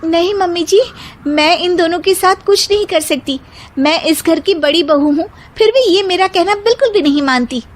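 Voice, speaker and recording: A woman voices the self-important eldest daughter-in-law of a household tale. She speaks high and assertively. Her manner is argumentative and complaining. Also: moderate pace.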